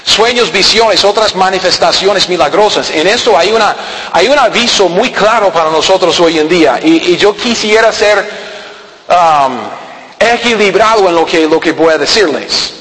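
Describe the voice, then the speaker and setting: A man's voice: sits high, moderate pace, a bit bright. A man preaching a sermon in a church hall, earnest and questioning, with frequent restarts and fillers. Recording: echoey hall with long reverb.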